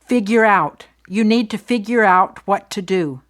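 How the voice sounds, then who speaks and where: A woman reciting phrases for a language lesson, deliberate and clearly enunciated. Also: mid-range voice. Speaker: phrase reader.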